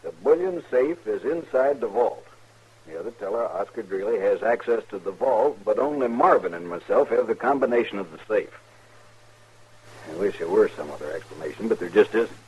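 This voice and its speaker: A man's voice: on the low side, lively intonation, normal speed, a little mellow, slightly rough, rough recording. A man playing an earnest, regretful American bank manager in a frontier town, in 1950s radio western style. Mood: earnest, dismayed. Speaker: radio drama actor. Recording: old radio recording with faint noise.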